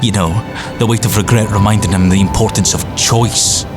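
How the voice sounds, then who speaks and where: Bitter, brooding man acted, low voice. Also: steady tone, fairly quick, close to an everyday voice. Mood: bitter, agitated. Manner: cynical, intense, sardonic. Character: bitter, disillusioned privileged man, brooding and reckless. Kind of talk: science fiction audio drama acting. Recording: music under the voice. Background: music.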